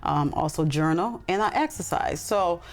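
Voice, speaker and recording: A woman's voice: lowish voice, expressive, normal speed. A woman talking earnestly and reflectively as a podcast guest, with frequent ums and restarts. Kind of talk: casual interview talk.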